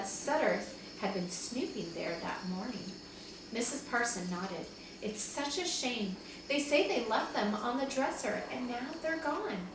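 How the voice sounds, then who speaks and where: A woman reading a children's chapter book aloud like a teacher to her class, expressively, with small asides to listeners. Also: a little high. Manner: expressive, acts out dialogue with asides. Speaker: second-grade teacher reading aloud to pupils. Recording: echoey, distant mic, noticeable background noise.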